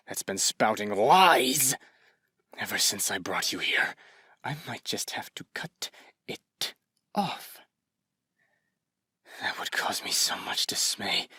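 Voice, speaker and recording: A man's voice: fairly low pitch, vocal fry, sharp tone, breathy edge, no echo, studio quality, full character voice. A man playing an obsessive, possessive kidnapper in an intimate ASMR roleplay, sweetly menacing and teasing, often dropping into whispers.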